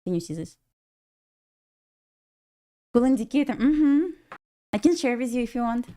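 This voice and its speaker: A woman's voice: a little high, expressive, normal speed, dark tone. A woman from Belarus with a Russian accent, casually commenting on a live trading stream, thinking aloud and answering chat. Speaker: day-trading livestream host.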